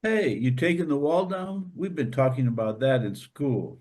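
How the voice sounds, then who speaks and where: A man's voice: fairly high pitch, normal speed, a little mellow, natural voice. A man playing a frank, eager schoolboy son in a stage play, with a blunt, casual way of talking. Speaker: actor in a staged reader's theater.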